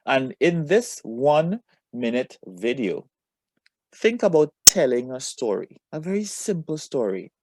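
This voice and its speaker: A man teaching a lesson to students, asking questions and giving instructions with deliberate emphasis and encouragement. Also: mid-range voice, big pitch swings, background noise.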